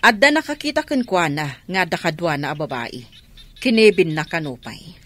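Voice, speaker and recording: An actress playing a blunt, protective, suspicious younger sister in a radio drama, with an Ilocano accent. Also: female voice, fairly low pitch, very animated, moderate pace, fairly bright tone. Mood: exasperated, accusing. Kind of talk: Filipino radio drama acting.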